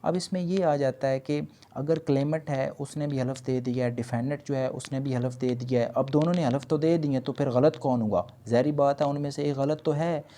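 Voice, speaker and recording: A man's voice: mid-range voice, fairly even intonation, normal speed, hardly any pauses, dark tone. A man with a Pakistani accent lecturing didactically, reading out points and then explaining them with repetition, switching between two languages. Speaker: law lecturer.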